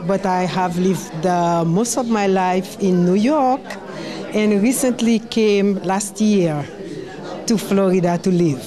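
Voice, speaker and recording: A woman's voice: mid-range voice, slow pace, a crowd in the background. A woman from Haiti, an artist, answering politely with hesitant fillers. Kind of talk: casual interview. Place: indoor art gallery. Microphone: handheld mic held by interviewer.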